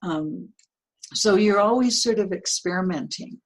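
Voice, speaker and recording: An older woman with a low, earthy voice, talking as a guest in an interview, reflective and given to small laughs and restarts. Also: unhurried, low-quality recording. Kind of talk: casual interview conversation.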